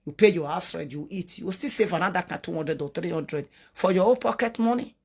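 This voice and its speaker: A woman's voice: fairly low pitch, a little fast, a little mellow, husky. A woman from Edo State in Nigeria with a Nigerian Pidgin accent, giving earnest, repetitive commentary and pleading with her viewers. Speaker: online commentator.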